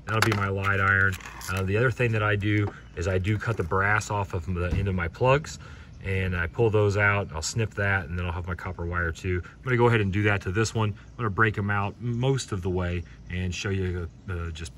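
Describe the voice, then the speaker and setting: Low-voiced man, casual, recorded at a distance. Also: fairly even intonation, fairly quick, a bit bright. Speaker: video host, hobby scrapper. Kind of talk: casual hands-on teardown commentary. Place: outdoors on pavement. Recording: distant mic, outdoor background noise.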